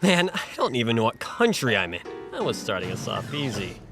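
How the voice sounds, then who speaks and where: A young man voicing a clueless, excitable teenage dropout in an anime parody, rambling sarcastically to himself. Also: medium pitch, a little fast. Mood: awkward. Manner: rambling, sarcastic self-talk. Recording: music behind the voice.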